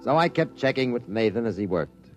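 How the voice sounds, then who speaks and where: A man's voice: mid-range voice, animated, fairly quick, faint music behind, close to an everyday voice. An actor playing a wry, skeptical male reporter who also narrates, in a 1950s radio drama. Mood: narrating.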